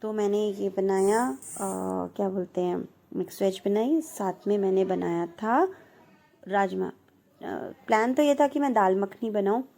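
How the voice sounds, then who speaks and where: A woman in her thirties from India talks over her home vlog in a relaxed, chatty voice-over, teasing herself now and then. Her voice is warm and mellow at a middling pitch. A slight hoarseness sits in her throat throughout. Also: unhurried. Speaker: vlogger. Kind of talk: casual voice-over narration.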